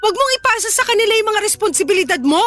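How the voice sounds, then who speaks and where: A fed-up working mother with a very high, bright voice and a Filipino accent. She scolds and accuses with biting sarcasm, in radio drama style over faint music. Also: animated, rapid-fire. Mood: accusing, scolding. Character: exasperated young working mother nagging her partner.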